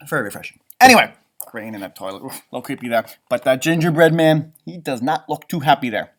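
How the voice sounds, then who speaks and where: A man hosting a storybook read-aloud for children, with very lively, varied intonation. He thinks out loud in chatty asides, humming as he ponders and explaining things simply. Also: mid-range voice, quick pace. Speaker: storybook reader and host, schoolteacher.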